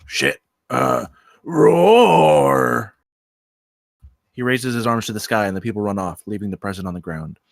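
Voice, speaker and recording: A man's voice: fairly low pitch, fast, hardly any pauses, a bit bright, no echo, clean studio sound. A man reading screenplay stage directions aloud as scripted narration.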